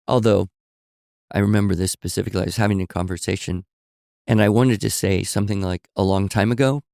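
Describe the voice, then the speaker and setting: A man co-hosting a podcast, telling stories in a relaxed conversational way and explaining with examples and asides. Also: lowish voice, fast talker, creaky, dry recording, studio quality. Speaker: podcast co-host. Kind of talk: conversational storytelling.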